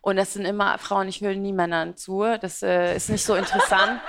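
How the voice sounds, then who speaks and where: A woman's voice: medium pitch, level tone, moderate pace, vocal fry, noisy background. Chatty young woman laughing between rambling answers. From Iraq. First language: Aramaic. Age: 20s. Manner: talkative, rambling, frequent laughter and fillers. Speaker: beauty and fashion blogger, guest. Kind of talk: interview answers.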